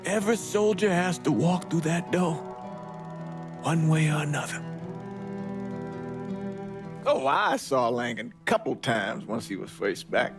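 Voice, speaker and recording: A man playing a weary, regretful old American priest and war veteran, giving solemn, measured testimony in a documentary-style game scene. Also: on the high side, lively intonation, over music. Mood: grave, haunted. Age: older. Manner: measured, solemn, deliberate storytelling with pauses. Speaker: priest recounting past events in a documentary interview.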